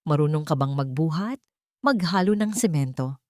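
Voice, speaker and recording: A woman's voice: low voice, lively intonation, moderate pace, a little mellow, dry recording, studio quality. A woman with a Filipino accent voicing a fat, bossy, scornful male construction foreman in a story, curt and commanding. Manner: curt, barking orders. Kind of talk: storybook character voice.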